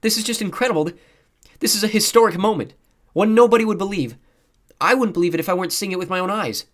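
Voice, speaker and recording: A man voicing an excitable, chatty teenage girl who is playing at being a television reporter in a story. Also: a little high, quick pace, pitched above the performer's own voice, natural voice. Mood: excited, unruffled.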